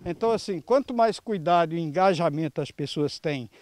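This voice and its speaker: A Brazilian man in his early sixties answering an interview earnestly and haltingly through a face mask, into a handheld microphone. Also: on the high side, expressive, darkish tone, no room sound. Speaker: environmental volunteer leader. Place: outdoors in a grassy clearing. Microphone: handheld reporter microphone.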